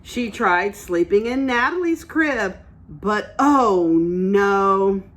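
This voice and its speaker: Slow-paced woman teaching young children. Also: medium pitch, lively intonation. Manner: encouraging, simple explanations with questions to the listeners. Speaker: early childhood teacher reading aloud. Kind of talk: interactive read-aloud lesson for young children.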